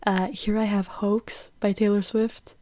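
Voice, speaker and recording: A woman's voice: mid-range voice, little pitch movement, measured pace, dark tone, no echo. A young woman from Norway with a Norwegian accent, chatting casually and listing songs with lots of "like" fillers. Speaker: vlogger. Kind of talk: casual chat.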